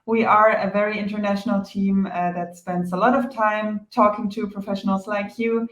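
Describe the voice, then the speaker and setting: A woman with a German accent hosting a software training webinar over a video call, organized and friendly, with frequent small fillers. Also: medium pitch, little pitch movement, moderate pace, highs cut off. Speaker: product marketing host. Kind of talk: webinar presenting. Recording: echoey room.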